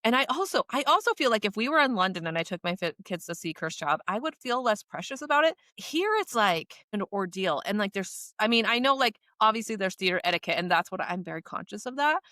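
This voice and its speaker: An American woman with a bright, forward voice talks casually as a podcast co-host. She is animated and emphatic, and the sound is dry and close. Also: mid-range voice, quick pace, few pauses, no room sound. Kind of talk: casual chat.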